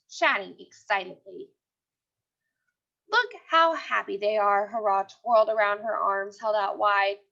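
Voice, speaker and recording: A woman's voice: a little high, vocal fry, fairly bright tone. A woman teacher reading a story aloud and questioning pupils in an instructional way, often repeating her phrases. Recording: dull lo-fi audio.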